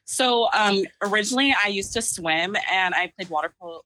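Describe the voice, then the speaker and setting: A woman's voice: mid-range voice, a little fast, sharp tone, dry recording, poor audio. A woman in her twenties, a guest on a podcast, talking in an upbeat, easygoing casual chat. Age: 20s.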